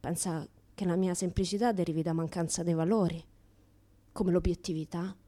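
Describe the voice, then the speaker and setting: An actress playing a self-styled naive, defiant young woman in a colloquial radio theatre monologue, with a Roman Italian accent, swinging between hushed asides and louder outbursts. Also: fairly low pitch, fairly even intonation, normal speed, close to an everyday voice. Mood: indignant.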